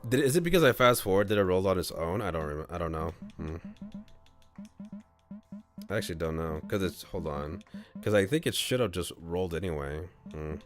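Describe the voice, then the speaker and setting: A man reading a visual novel aloud on a livestream, moving between narration and casual commentary. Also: deep voice.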